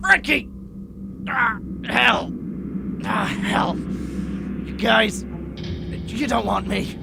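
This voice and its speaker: Man playing a gravelly small-time crook, high-voiced. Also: very slow, heavy background noise, exaggerated voice. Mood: desperate. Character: rough, cowardly small-time crook in a tight spot. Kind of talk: fan radio drama acting. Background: loud music and effects.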